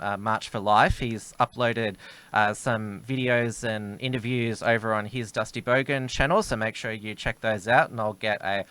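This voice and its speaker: Dry-sounding man, steady. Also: mid-range voice, dry recording. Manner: steady, plugging his shows, frequent uhs. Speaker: co-host. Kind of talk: casual live-stream chat.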